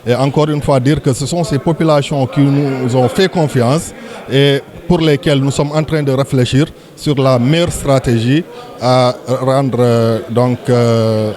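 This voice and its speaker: A man with a Senegalese French accent giving a semi-formal interview statement as a local official, in halting, measured official phrasing. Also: mid-range voice, steady tone, darkish tone.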